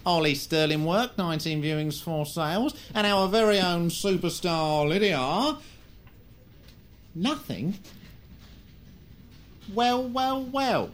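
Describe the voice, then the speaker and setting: An actress playing a brash, sarcastic British sales manager, full of mocking, theatrical banter in an audio drama. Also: female voice, on the low side, slow pace, long pauses. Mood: brisk, mocking. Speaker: sales team boss.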